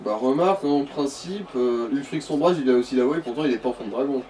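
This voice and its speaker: A man's voice: medium pitch, fast, mellow. A man chatting casually as co-commentator on a game, explaining and riffing. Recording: slightly distant mic, background noise.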